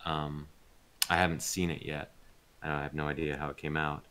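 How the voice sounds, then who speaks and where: A man being interviewed as a voice actor, chatting casually and hesitantly, thinking aloud with pauses. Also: a bass voice, level tone, pushed and bright.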